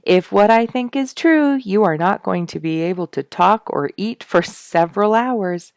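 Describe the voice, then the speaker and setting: A woman's voice: medium pitch, expressive, unhurried, creaky, dry recording, clean studio sound, pitched above the performer's own voice. A woman voicing a jovial, knowing woodsman in a storybook, with a hearty, teasing, emphatic manner. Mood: teasing concern. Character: jovial, knowledgeable woodsman, teasing his young friend.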